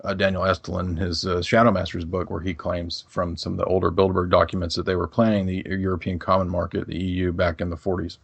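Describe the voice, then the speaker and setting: Deep raspy guy chatting on a podcast, lots of ums and restarts. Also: little pitch movement, quick pace, hardly any pauses, no room sound. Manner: rambling, many fillers and restarts. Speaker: guest. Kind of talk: casual podcast discussion.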